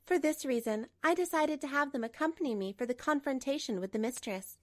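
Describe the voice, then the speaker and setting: A woman playing a composed betrayed wife in a manga dub, narrating and speaking with measured, pointed delivery. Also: high-pitched, no echo, studio quality, lightly acted. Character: betrayed wife, composed, coolly resolute, narrating her story.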